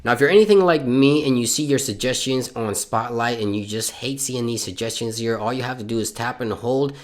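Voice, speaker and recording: A man's voice: medium pitch, fairly quick. A man from Puerto Rico, a bilingual Spanish speaker, hosting a tech channel and walking viewers through phone tricks step by step in an upbeat, instructional way. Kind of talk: tech tips walkthrough.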